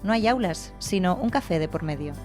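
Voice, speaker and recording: A woman's voice: on the low side, brisk. Woman narrating a news report over guitar. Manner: even, clear voice-over delivery.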